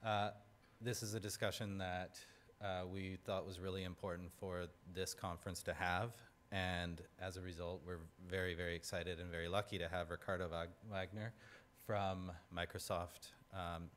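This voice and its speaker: A man's voice: deep voice, little pitch movement, normal speed, a bit bright, slight background noise. A man hosting a conference, introducing a speaker in a casual, upbeat way with plenty of filler words. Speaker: conference host. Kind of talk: event introduction.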